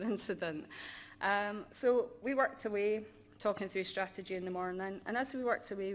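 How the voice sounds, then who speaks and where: A middle-aged woman giving a conference presentation as a support manager, in a self-described monotonous delivery with frequent ums. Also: fairly low pitch, a little hiss or hum. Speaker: conference speaker, university user support manager.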